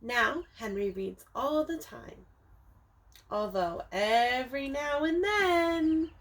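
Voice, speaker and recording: A woman teacher reading a picture book aloud to young children, expressively, with dramatic emphasis and drawn-out words, speaking to them directly. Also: high-pitched, lively intonation. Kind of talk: animated children's storybook read-aloud.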